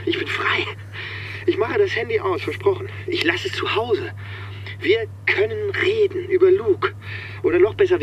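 Harried, cocky manager at the wheel. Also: male voice, high voice, a bit bright, dull audio, slight background noise, exaggerated voice. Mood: eager, earnest. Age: 40s. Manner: evasive, indignant, stammers under pressure. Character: harried, cocky middle-aged car-industry manager and family man. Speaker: actor in a radio drama. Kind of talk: naturalistic German radio drama acting. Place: voice heard as if driving inside a car.

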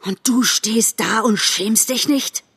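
A woman's voice: a little high, slow, pitched above the performer's own voice, heavily acted. A woman voicing a wounded male fox in an audiobook story, weak and imploring or growling with bitter scorn. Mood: bitter, accusing. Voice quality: hushed, husky, growling when angered. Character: wounded dying male fox, pleading, then bitter and contemptuous.

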